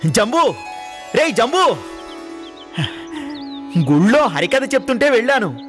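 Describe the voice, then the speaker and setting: High-voiced grandiose dreamer. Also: male voice, very animated, slow, over music, full character voice. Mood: earnest, hopeful. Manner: theatrical, grandiose, earnest. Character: poor dreamy elder brother, grandly naive would-be king. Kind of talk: exaggerated cartoon dialogue acting.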